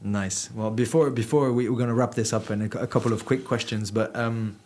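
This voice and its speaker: Fast-talking man hosting. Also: medium pitch. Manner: quick, animated, rambling questions. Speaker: podcast host, former rugby player. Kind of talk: casual interview chat.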